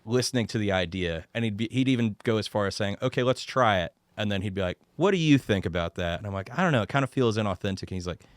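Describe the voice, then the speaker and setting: Man in his 30s from texas, very deep voice, smooth and clear, easygoing guest, self-deprecating. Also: animated, fast, few pauses, no room sound. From suburbs of Dallas, Texas. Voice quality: low voice. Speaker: singer-songwriter guest. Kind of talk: casual conversation.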